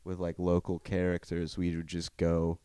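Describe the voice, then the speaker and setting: A man's voice: sits low, completely flat delivery, moderate pace, mellow, smooth, no echo. A young man from North Carolina, a comedian guest telling rambling, laid-back stories in a casual podcast chat. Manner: rambling, laid-back storytelling with many fillers.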